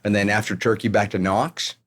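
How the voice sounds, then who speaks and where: Deep-voiced middle-aged American man. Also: steady tone, a little fast, a bit bright. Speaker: podcast guest, retired army colonel. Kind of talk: conversational storytelling interview.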